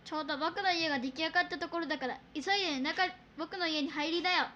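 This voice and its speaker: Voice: high-pitched, fairly even intonation, fast talker, sharp tone, muffled recording. A performer voicing a sensible, proud young pig boy, confident and matter-of-fact, in a children's radio drama. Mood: decisive.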